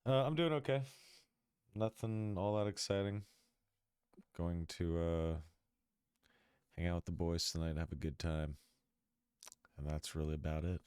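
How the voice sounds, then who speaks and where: Stuffy-nosed man with a very deep voice. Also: unhurried, pauses often, clean tone, no room sound, studio quality. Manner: laid-back, joking, a bit quiet. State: stuffy nose from a cold. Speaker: host. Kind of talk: casual chat before a tabletop game. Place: dark room at home.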